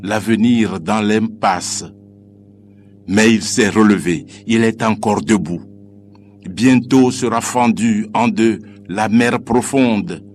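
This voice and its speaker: A man recites poetry in a solemn, declamatory way, with measured phrasing and deliberate pauses. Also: on the low side, a little mellow, a little hiss or hum. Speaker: poet reciting own poem. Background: music.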